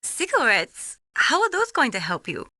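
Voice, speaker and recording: A woman's voice: high voice, wildly swooping pitch, moderate pace, sharp tone, no echo, clean studio sound, heavily acted. An actress playing a friendly, admiring young female tech specialist in a video game, speaking politely and earnestly as she explains. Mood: puzzled.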